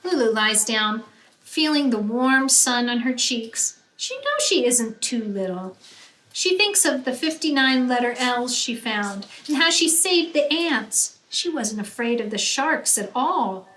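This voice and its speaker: A woman reading a picture book aloud to children like a teacher, with expressive, emphatic narration that draws out key words. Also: a little high, unhurried. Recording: roomy echo, faint background noise.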